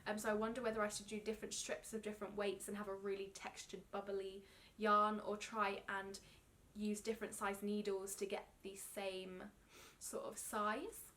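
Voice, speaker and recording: A woman's voice: mid-range voice, level tone, moderate pace, a bit bright. A young woman with a cold, a slightly stuffy nose, chatting casually and ramblingly about knitting as a podcast host. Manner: chatty and rambling, many ums, gushing. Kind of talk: casual chat to camera.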